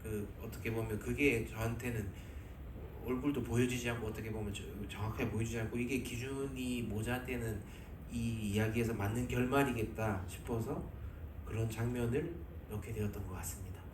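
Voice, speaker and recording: A man, a film director, answering slowly at a press conference and searching for his words. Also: medium pitch, steady tone. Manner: halting, searching for words, many fillers. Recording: echoey hall from far off, background noise.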